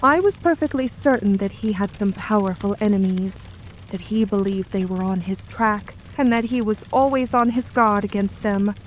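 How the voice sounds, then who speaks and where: A woman's voice: mid-range voice, moderate pace, a little hiss or hum, close to an everyday voice, low-quality recording. A woman playing a composed, guarded English wife of a murdered man in an audio drama, speaking earnestly and formally.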